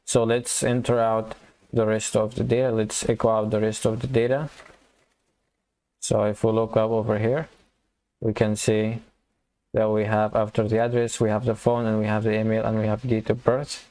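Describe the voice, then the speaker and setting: Male tutorial instructor, informal and halting, with a lowish, very even delivery for step-by-step screen walkthroughs. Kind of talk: casual screen-recorded coding walkthrough, thinking aloud.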